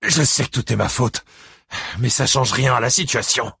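A man voicing a battered, lonely ex-convict father, gruff, curt and wry, in an audiobook novel. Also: low voice, normal speed, a bit bright, dry recording, studio quality, heavily acted. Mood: defensive.

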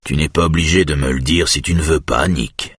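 A patient police officer, calm and steady, coaxing answers with an even voice, as a man plays him. The tone is very low and husky, recorded close and dry with no room sound. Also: brisk, no room sound, exaggerated voice.

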